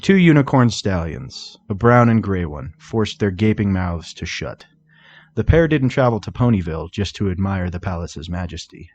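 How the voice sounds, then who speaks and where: A man reading a fan fiction story aloud in expressive scripted narration. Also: deep voice, moderate pace, dull audio.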